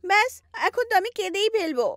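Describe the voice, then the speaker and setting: A woman's voice: very high-pitched, sing-song, fast, no echo, studio quality, full character voice. A woman voicing a sulky, manipulative young wife with a whiny, wheedling delivery in a cartoon story. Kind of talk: animated story dubbing. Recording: loud music bed under voice.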